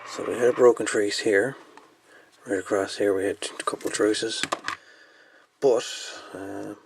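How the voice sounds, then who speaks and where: A man's voice: fairly low pitch, steady tone, measured pace, creaky, noisy background. A man casually talking through a hands-on repair at the bench, folksy and thinking aloud. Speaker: hobbyist radio repairman presenting a repair.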